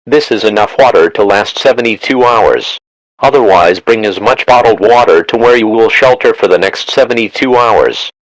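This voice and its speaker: Robotic text to speech guy doing emergency alert announcements, lowish voice, really dry sound, formal. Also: normal speed, no room sound, poor audio. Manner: flat, formal, automated delivery. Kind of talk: scripted emergency broadcast announcement.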